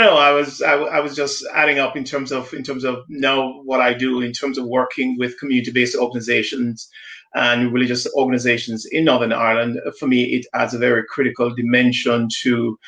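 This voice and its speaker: A man from Jamaica talks through a video call in a reflective, halting way, often circling back to restart a thought. His voice has a gravelly, creaky edge at an ordinary middle pitch. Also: flat delivery, sharp tone, reverberant. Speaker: diversity consultant guest. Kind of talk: conversational live-stream discussion.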